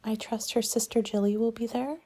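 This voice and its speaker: Soft, mellow woman in ASMR roleplay. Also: fairly high pitch, fairly even intonation. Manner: polite, measured, businesslike consultant patter. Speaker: party planning agent in an ASMR roleplay. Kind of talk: soft-spoken ASMR roleplay, partly whispered.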